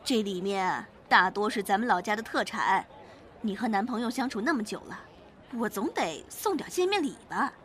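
A woman's voice: medium pitch, lively intonation, normal speed, fairly bright tone, lightly acted. A woman acting a fussy, nagging older mother, chiding and motherly, in a Chinese audio drama.

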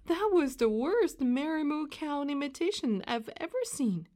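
A woman's voice: sits high, very animated, a little slow, mellow, voice pushed up. A woman voicing a bossy, dramatic little birthday girl in a children's story, with a demanding manner. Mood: doubtful. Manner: demanding, exclamatory.

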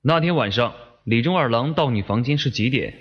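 A man's voice: medium pitch, animated, brisk, husky. A man playing a methodical police detective questioning someone in an audio drama, businesslike and pressing. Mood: probing, businesslike. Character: male police detective, probing, methodical, firm interrogator. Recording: slightly dull, lo-fi microphone sound.

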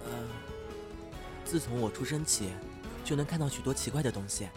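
A young performer playing a timid, excitable half-demon who is hesitant and easily flustered, in an audio drama. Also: mid-range voice, normal speed, over music, natural voice. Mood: wistful.

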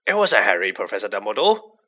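Man as big loyal male groundskeeper, storybook character, loud, blustering, urgent, forceful tone, lively swinging pitch. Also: a little slow, breathy edge, exaggerated voice. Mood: agitated, urgent.

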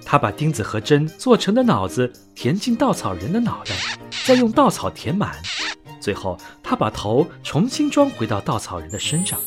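A man narrating a scripted bedtime story for children in an expressive, clearly phrased way. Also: fairly high pitch, normal speed. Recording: soft music under narration.

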